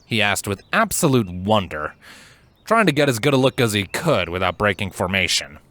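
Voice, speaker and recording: A man narrating scripted fiction in a measured, expressive and articulate way. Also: on the low side, no echo. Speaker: fan fiction narrator and voice actor.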